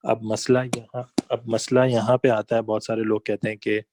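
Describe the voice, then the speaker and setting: A man's voice: on the low side, little pitch movement, moderate pace, darkish tone, no echo. A man giving a slide-based business lesson, talking conversationally and explaining with examples and asides. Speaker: online business trainer.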